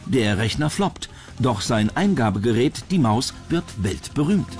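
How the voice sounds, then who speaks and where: A man's voice: medium pitch, very animated, a little slow, darkish tone. A man reading scripted documentary narration as the narrator of the programme. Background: music.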